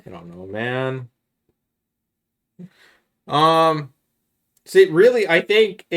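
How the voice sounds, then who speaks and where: A man talking in a casual gaming podcast style as a co-host, arguing his opinions. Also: mid-range voice.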